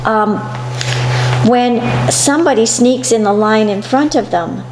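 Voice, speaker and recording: A woman's voice: a little high, a little slow. A middle-aged woman with an American accent, hosting a conversational interview. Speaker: interview host. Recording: echoey room, faint noise.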